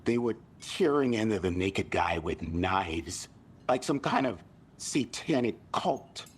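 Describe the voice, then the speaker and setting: A rattled man in a video game drama, with a very deep voice. He speaks slowly and haltingly, in colloquial bursts that swing up and down dramatically. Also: big pitch swings, slight background noise. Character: rattled male tourist recounting a frightening attack. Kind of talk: naturalistic video game acting.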